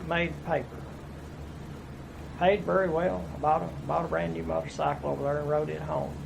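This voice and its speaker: Gravelly older-sounding Tennessee man. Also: on the high side, flat delivery, normal speed. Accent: East Tennessee. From East Tennessee. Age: 50s. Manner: plainspoken, folksy storytelling with restarts. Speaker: interviewee, veteran. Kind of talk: oral history interview. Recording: noisy room recording.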